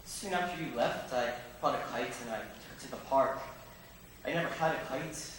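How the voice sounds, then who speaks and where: A man acting an awkward, earnest older professor in an amateur stage musical, speaking haltingly. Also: mid-range voice, a bit bright, a little hiss or hum, natural voice. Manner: halting, hesitant, earnest delivery. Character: awkward, earnest, patient older male German professor in love. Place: school theatre stage, distant microphone. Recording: echoey school stage from far off, music.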